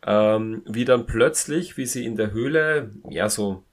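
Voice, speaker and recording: A man in a casual podcast discussion, speaking haltingly as he searches for his words. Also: lowish voice.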